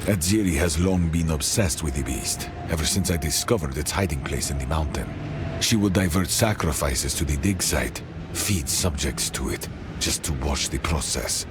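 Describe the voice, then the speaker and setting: An actor playing a weary, haunted inventor in a fantasy game, speaking in a grave, measured way. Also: male voice, extremely low pitch, music in the background. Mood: weary, bitter. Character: weary, haunted older male inventor serving a cruel queen. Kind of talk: scripted fantasy game dialogue.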